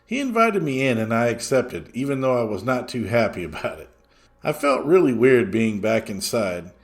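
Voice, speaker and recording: A man's voice: mid-range voice, very animated, moderate pace. A man reading a first-person story aloud as scripted podcast narration. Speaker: podcast host reading listener stories.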